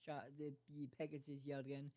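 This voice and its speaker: An amateur reader narrating a fan fiction story aloud in a halting way, stumbling over words and repeating phrases. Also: mid-range voice, completely flat delivery, dark tone. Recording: dull lo-fi microphone sound.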